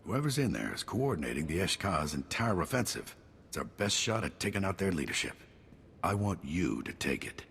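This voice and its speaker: An actor playing a gruff, battle-weary general with a clipped, wry military delivery in a video game cutscene. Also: male voice, deep voice, very animated, a little fast, fairly bright tone, a little hiss or hum, exaggerated voice. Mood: grim, resolute. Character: gruff, weary, wry male army general under siege.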